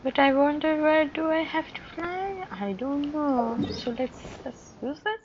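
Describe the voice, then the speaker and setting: A woman gives casual game-stream commentary in a high voice, slowly thinking aloud. The recording is somewhat muffled, with faint noise.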